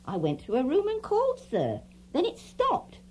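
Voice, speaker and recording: An actress playing a chatty, fretful, deferential housemaid in an audio drama. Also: female voice, high voice, wildly swooping pitch, normal speed, darkish tone, poor audio. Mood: fussing, deferential. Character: fussy, talkative housemaid, deferential and kindly.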